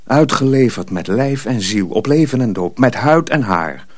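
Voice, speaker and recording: A man's voice: medium pitch, lively intonation, moderate pace, darkish tone, no room sound, studio quality. A man narrating a radio drama as a storyteller, reading scripted prose dramatically.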